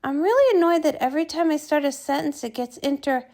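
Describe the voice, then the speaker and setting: A woman's voice: high-pitched, expressive, fast talker, a little mellow. A woman voicing a bookish, anxious male cartoon pony with a wordy, hesitant manner. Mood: annoyed.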